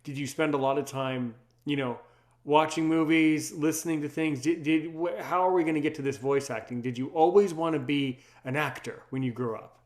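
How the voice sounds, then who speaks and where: Higher-voiced man, effusive casual interviewer. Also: moderate pace. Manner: effusive, playful, repeats phrases and words. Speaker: interview host.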